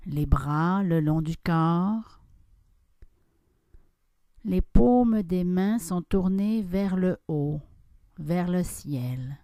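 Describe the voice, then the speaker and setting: A woman guiding a yoga nidra relaxation, giving calm, soft instructions with long pauses between phrases. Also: fairly low pitch, no room sound, clean studio sound. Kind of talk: guided relaxation meditation.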